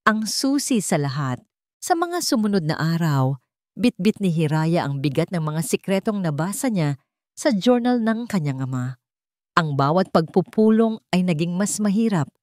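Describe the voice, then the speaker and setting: A Filipino-accented woman reads a dramatic story as its narrator. Her voice sits on the low side for a woman. The recording is dry and close, with no room sound. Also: darkish tone, dry recording.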